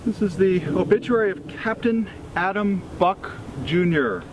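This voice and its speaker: A man with an American accent reading a formal obituary tribute aloud in a deliberate, solemn way, pausing between phrases. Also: sits high, animated. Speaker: freemason reader at a memorial event. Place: outdoors at a cemetery event. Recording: clear ambient outdoor noise with vehicles.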